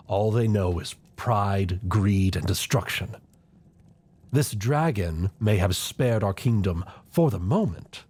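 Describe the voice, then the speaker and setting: A man playing a loyal, scheming older royal chancellor in a fantasy audio drama, speaking in formal, measured, deferential courtly phrases. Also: low-pitched, a little mellow, breathy edge, full character voice. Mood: stern, warning. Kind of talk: scripted fantasy audio roleplay acting.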